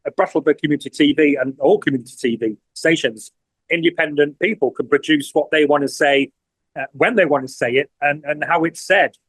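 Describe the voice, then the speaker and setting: An English man in his sixties giving an earnest interview testimonial, often pausing and repeating words as he searches for them. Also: a little high, a bit bright, breathy edge, dry recording, rough recording. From England. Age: 60s. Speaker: community TV producer, award honoree.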